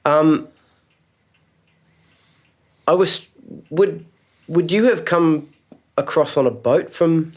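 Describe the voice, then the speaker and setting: A man's voice: a little high, slow, long pauses. A man hosting a podcast interview, asking questions with plenty of ums and short encouraging replies. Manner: hesitant with many ums, frequent encouraging backchannels. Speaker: podcast host. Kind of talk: casual interview chat.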